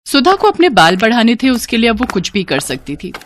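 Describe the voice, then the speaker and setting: A woman's voice: on the high side, sing-song, fairly quick, a little hiss or hum. A woman narrator reading a scripted children's story.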